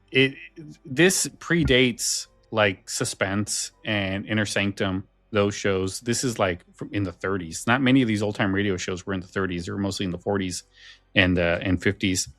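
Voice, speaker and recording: A man co-hosting a podcast in a casual, informal and somewhat halting way. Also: on the low side, fairly bright tone, no echo. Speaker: podcast co-host.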